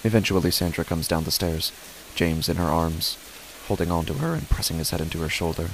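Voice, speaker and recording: An uneasy dad narrating a horror story, really low voice, measured, pauses a lot, bit of hiss. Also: male voice, a bit bright, low-quality recording. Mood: grave, uneasy. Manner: deliberate, with dramatic pauses. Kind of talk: scripted first-person horror narration.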